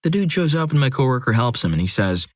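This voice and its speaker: A man narrating a compilation of first-person stories in a steady, scripted reading, with dry, wry asides. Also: medium pitch, fast, no echo.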